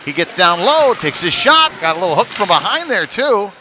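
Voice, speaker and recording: A man giving halting, conversational live play-by-play of a youth hockey game in a folksy manner. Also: high voice, big pitch swings, bright, forceful tone. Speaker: play-by-play commentator. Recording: noisy live rink stream.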